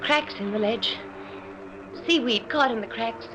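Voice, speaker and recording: A woman's voice: a little high, measured pace, natural voice. A woman playing an anxious, suspicious new wife in a 1940s radio drama, speaking hesitantly and faltering over her words. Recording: noisy old-time radio recording.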